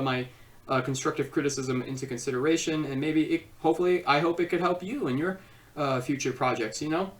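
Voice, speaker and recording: Rambling amateur reviewer, lively pitch, off-mic. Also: far from the mic. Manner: rambling, repeats himself, stumbles over phrasing. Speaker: film review host. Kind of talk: casual amateur video review.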